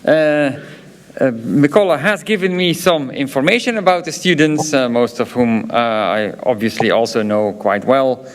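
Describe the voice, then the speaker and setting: A man's voice: medium pitch, unhurried. A man from Belgium with a Flemish accent, a professor giving a graduation ceremony speech, hesitant and full of filler sounds, with chatty asides. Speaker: professor presenting diplomas.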